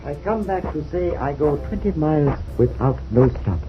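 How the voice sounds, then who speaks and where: A man's voice: a little high, animated, moderate pace, over music, lightly acted. A man playing a very fat, fatalistic Chinese merchant of forty-five in a radio drama, speaking polite, formal broken English with a put-on Chinese accent. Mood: polite, unruffled. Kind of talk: 1950s radio drama acting. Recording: tinny, noisy old-time radio recording.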